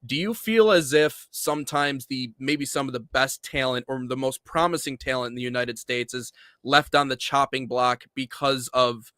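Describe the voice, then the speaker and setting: A man's voice: mid-range voice, moderate pace, few pauses, pushed and bright, no room sound. A male podcast co-host asking casual questions, hesitant and restarting with fillers.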